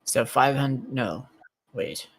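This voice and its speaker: A man playing in a tabletop roleplay, chatting casually and haltingly in and out of character. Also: mid-range voice, animated, fairly bright tone.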